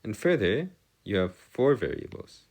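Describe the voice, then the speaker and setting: A man giving a step-by-step math lesson as a tutor, halting and pausing to check himself, with formal, bookish wording. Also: fairly low pitch, sing-song, a little mellow. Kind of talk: lesson, working through equations step by step.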